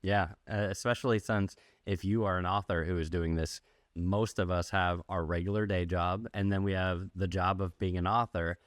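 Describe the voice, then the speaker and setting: Male interviewer, low register, relaxed podcast-style questioning, tightly dry recording. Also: normal speed, no echo. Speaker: podcast host. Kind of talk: casual interview.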